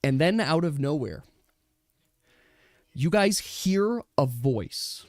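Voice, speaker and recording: A man's voice: a little high, expressive, slow pace, no room sound, studio quality. A man speaking as game master, switching between casual rules talk and descriptive narration of a tabletop role-playing game.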